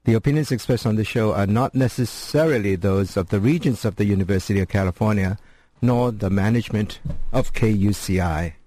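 A man hosting a college radio interview, talking haltingly with many fillers and restarts. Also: lowish voice, warm tone. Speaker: radio host.

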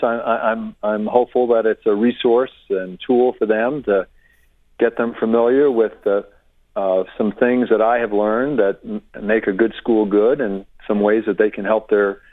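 A man's voice: fairly low pitch, dry recording. Man on a tinny phone line. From Connecticut. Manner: hesitant, many restarts and fillers. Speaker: guest author. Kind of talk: radio interview.

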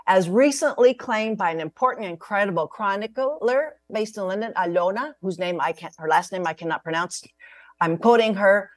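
Host, woman, mid-pitched, measured and careful, dry close sound with soft music under. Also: no echo. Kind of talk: formal interview introduction. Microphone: video call.

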